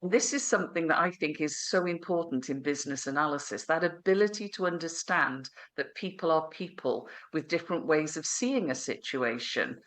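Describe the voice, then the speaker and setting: A woman's voice: low-pitched, expressive, normal speed, hardly any pauses, a bit bright. A woman hosting a podcast interview, asking her guests about their book with encouraging remarks. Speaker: podcast host.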